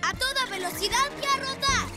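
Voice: extremely high pitch, animated, fairly quick. A performer voicing a brave, enthusiastic little boy rescue motorcycle in a children's cartoon. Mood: eager, excited. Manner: enthusiastic, exclaiming. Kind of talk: animated children's show dubbing. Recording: music behind the voice.

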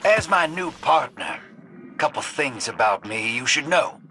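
A sly, streetwise survivor talks like he is letting you in on a scheme. His pitch swings with folksy, slangy swagger, in video game style over clear background music. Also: lively intonation, unhurried. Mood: chummy, confiding. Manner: drawling slangy swagger, conspiratorial. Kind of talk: video game character dialogue.

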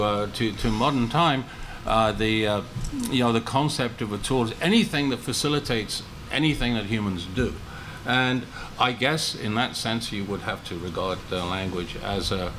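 An older man talks into a table microphone during an academic panel discussion. He speaks haltingly, restarting phrases and filling pauses. Faint noise sits under the voice in a large meeting room. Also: fairly low pitch, a bit bright, poor audio. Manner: hesitant, many restarts and fillers.

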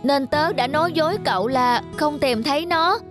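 Woman as young cartoon child, high voice, earnest, simple phrasing, children's dub, music behind. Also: normal speed, lightly acted. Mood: remorseful. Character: young children, close friends, in a gentle moral tale. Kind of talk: Vietnamese children's cartoon dubbing.

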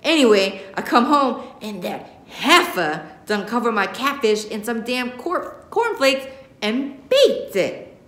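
A woman's voice: on the high side, sing-song, slow, a bit bright, voice pushed up, exaggerated voice. A woman acting a bossy, dramatic grandmother, echoey. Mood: irritated, bossy. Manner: emphatic, bossy, theatrical. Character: dramatic, cranky, cursing grandmother, retired theater teacher. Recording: roomy classroom echo.

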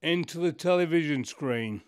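A man's voice: fairly high pitch, lively intonation, normal speed, close to an everyday voice. A man voicing a teasing magic TV screen in a radio pantomime. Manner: teasing, cryptic. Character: mysterious magic TV screen, male.

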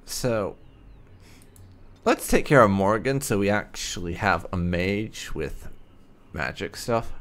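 A man's voice: fairly low pitch, expressive, takes it slowly, pauses often, a bit bright. A man giving casual, wry commentary as he hosts a roleplay video game playthrough. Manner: wry, sarcastic asides. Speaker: gamer, host of a roleplay playthrough.

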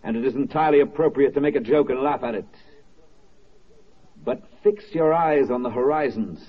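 An actor playing a solemn, rousing wartime narrator, declaiming in an oratorical radio drama style. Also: male voice, mid-range voice, normal speed, lightly acted. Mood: proclaiming. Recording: muffled 1940s radio recording.

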